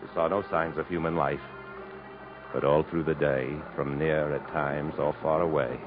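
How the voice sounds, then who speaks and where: An actor playing a resolute, commanding adventurer hero in a 1950s radio drama, speaking earnestly and in a clipped way. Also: male voice, very deep voice, steady tone, moderate pace. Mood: brooding narration. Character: resolute adventurer hero fleeing through jungle, also narrating. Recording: muffled old radio broadcast with music.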